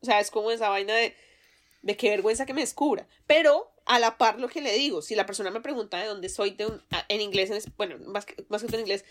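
A woman's voice: mid-range voice, fast talker. A woman from Colombia with a Colombian accent, co-hosting a podcast in casual chat, laughing often and restarting her sentences. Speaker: podcast co-host.

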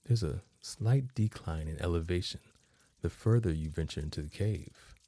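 A man narrating a guided meditation as host, in measured phrases with long pauses between them. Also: low-pitched, mellow, no room sound.

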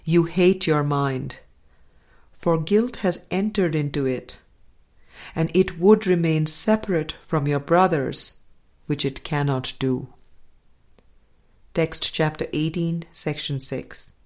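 Slow, low-voiced woman reading softly. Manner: slow, deliberate, soft-spoken with quiet trailing endings. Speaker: reader of a spiritual book. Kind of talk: measured audiobook-style reading with commentary.

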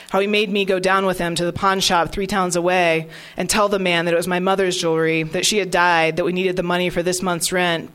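A woman reading a first-person prose story aloud in a steady, even narration. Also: on the low side. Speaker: fiction reader.

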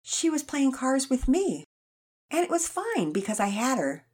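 A woman's voice: high voice, big pitch swings, measured pace, darkish tone, breathy edge, pitched above the performer's own voice, full character voice. A woman voicing a matter-of-fact young boy, the big brother in a children's storybook reading. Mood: reassuring.

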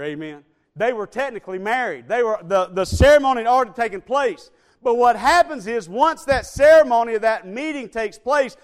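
Male preacher, high clear resonant voice, surges loud then drops to hushed pleas. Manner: swings between loud shouted build-ups and soft low appeals. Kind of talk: sermon.

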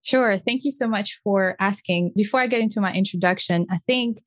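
A woman from Senegal in her thirties whose first language is French, answering interview questions in an upbeat, quick way as a podcast guest. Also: mid-range voice, no echo. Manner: upbeat, quick, laughs often.

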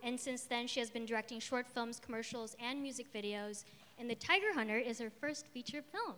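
A woman's voice: a little high, fairly quick, noisy background. A woman moderating a live panel and podcast, upbeat with laughing asides. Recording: reverberant hall.

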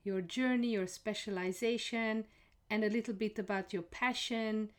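A woman podcast host introducing her guest in a measured way, with a few "um" pauses. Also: medium pitch, steady tone.

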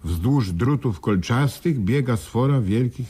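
A man's voice: mid-range voice, animated, slow, mellow, breathy edge. An actor playing a self-justifying businessman in a radio play, articulate and theatrical. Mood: reading aloud. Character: self-justifying businessman, male former mine shareholder. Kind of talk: 1980s Polish radio play acting.

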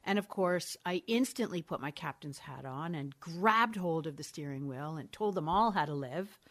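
A woman's voice: deep voice, expressive, moderate pace, a bit bright. A middle-aged woman, a mother of three, talking candidly and thoughtfully as an interview guest, often restarting her sentences. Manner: candid, thoughtful, frequent self-corrections and laughs. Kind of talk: reflective conversational interview.

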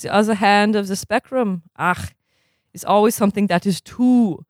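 A man's voice: high-pitched, moderate pace, no room sound, studio quality, pitched above the performer's own voice, close to an everyday voice. A man voicing a stern older male tennis coach who lectures in stilted, broken English with a put-on foreign accent. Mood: lecturing. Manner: pacing rhetorical lecture, ends with "yes?". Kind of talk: audiobook character reading.

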